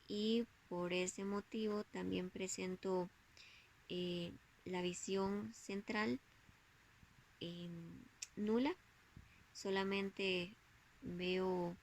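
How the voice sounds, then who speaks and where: A woman of twenty-four with a Costa Rican accent, a student answering interview questions about herself. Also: medium pitch. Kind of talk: self-introduction and interview answers.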